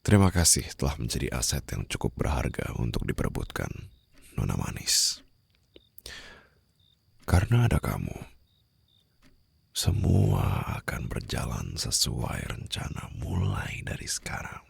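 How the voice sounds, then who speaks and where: A man acts out a menacing mafia boss for an ASMR roleplay, in a bottomless, gravelly voice. His delivery is formal and controlled, with swooping inflection that turns condescending or mockingly sweet toward the captive. It is captured close on the microphone. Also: sing-song, a little slow, pauses often, no echo, full character voice. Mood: smugly mocking. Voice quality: very low, gravelly, husky. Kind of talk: ASMR roleplay acting, addressed to the listener. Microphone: close studio-style microphone.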